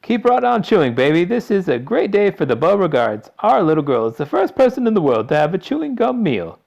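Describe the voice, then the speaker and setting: A loud, bossy storybook dad, voiced by an audiobook narrator, proud and booming. He gives commands with a very animated swing, in a slightly dull recording. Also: fairly quick, gravelly.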